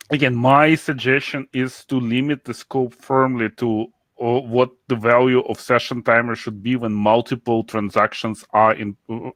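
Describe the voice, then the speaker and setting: A man's voice: medium pitch, a bit bright, no room sound. A man commenting at a technical meeting, insistently restating his point. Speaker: meeting participant. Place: standards meeting room.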